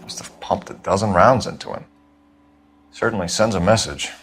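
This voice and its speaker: An actor playing an earnest, upright police detective in a 1940s noir game, measured and pressing. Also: male voice, sits low, a bit bright, a little hiss or hum. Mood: calm.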